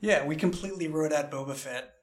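Male host, fairly high voice, informal and rambling, with a jokey streak. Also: fairly quick. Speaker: show creator and host. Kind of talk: casual roundtable chat.

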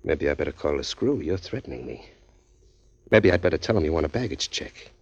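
An actor playing a streetwise, hardboiled drifter who narrates in a tough, dry, wisecracking way, in 1940s radio acting. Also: male voice, extremely low pitch, lively intonation, fairly quick, no room sound, heavily acted. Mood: wry. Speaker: narrator and lead. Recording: dull lo-fi 1940s radio recording, faint music.

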